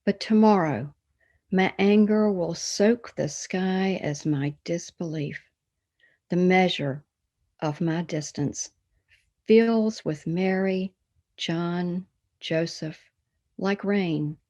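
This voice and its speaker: A woman giving a solemn, poetic reading of a meditation, measured, with pauses after short phrases. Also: on the low side, mellow. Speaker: reader of a church meditation.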